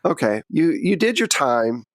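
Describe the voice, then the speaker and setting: A man's voice: fairly high pitch, big pitch swings, a little slow, no room sound. A man hosting and narrating a tabletop game in a conversational style as game master.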